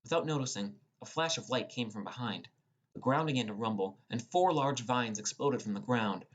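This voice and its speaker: A man's voice: medium pitch, normal speed. A young man reading scripted first-person fantasy narration as a dramatic storyteller. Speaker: audiobook narrator.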